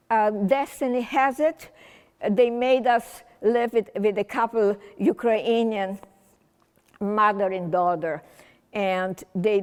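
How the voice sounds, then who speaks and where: A woman's voice: medium pitch, clear, resonant tone. An elderly woman in her eighties, a native German speaker, shares her survival story with a community gathering. Her delivery is casual and winding, full of restarts and wry asides. Her voice carries a long, ringing hall reverb. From Romania. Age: 80s. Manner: conversational and meandering, with frequent restarts and wry humor. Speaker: Holocaust survivor speaking at a remembrance event. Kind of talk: personal testimony talk to an audience.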